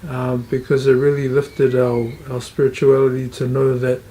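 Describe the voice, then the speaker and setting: A man's voice: mid-range voice, completely flat delivery, moderate pace, mellow, rough recording. A middle-aged man, earnestly sharing his experience in an interview, often repeating and restarting phrases. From Tonga, raised in New Zealand. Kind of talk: testimonial interview.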